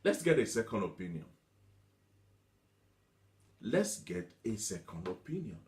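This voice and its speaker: Low-voiced male preacher in an echoey room. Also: big pitch swings, measured pace, darkish tone. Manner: emphatic, with repetition and rhetorical questions. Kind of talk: motivational sermon teaching.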